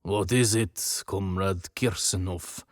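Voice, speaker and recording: A man's voice: low-pitched, steady tone, slow pace, no room sound, clean studio sound. Man playing a stern, menacing Russian general. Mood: irritated.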